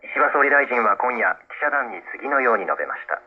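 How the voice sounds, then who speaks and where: Man, news announcer, fast scripted reading, muffled shortwave sound. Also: mid-range voice, big pitch swings. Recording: dull shortwave radio sound.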